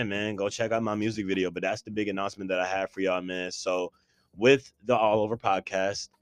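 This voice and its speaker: A man's voice: lowish voice, dry recording. A man in his twenties co-hosting a podcast, chatting casually and hyping up listeners with slang. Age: 20s. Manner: animated, hype-man slang, addresses listeners as y'all. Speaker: podcast co-host.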